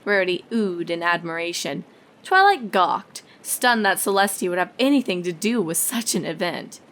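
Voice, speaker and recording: A woman's voice: fairly low pitch, very animated, normal speed, fairly bright tone. A woman reading scripted story narration as the narrator of a fan fiction tale.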